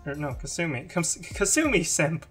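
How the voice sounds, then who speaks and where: A man in casual group banter, animated and halting as he restarts his phrases. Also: on the high side. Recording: clear music playing behind the voice. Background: background music.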